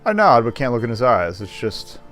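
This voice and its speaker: A man's voice: mid-range voice, sing-song, a little fast, fairly bright tone. A man streaming a game, casually commenting and reading the on-screen text aloud. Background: faint game music.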